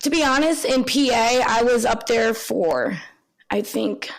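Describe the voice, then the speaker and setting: Woman guest, tri-state accent, gravelly vocal fry, candid and filler-heavy. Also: a little high, animated, a little slow, rough recording. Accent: tri-state (Pennsylvania/Maryland area). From Pennsylvania. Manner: candid, with frequent ums. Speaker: guest photographer. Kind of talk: casual interview conversation.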